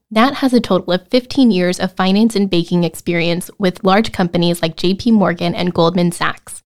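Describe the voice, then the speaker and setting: A woman hosting a career podcast, reading an upbeat scripted intro and then asking casual interview questions. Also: lowish voice. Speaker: podcast host.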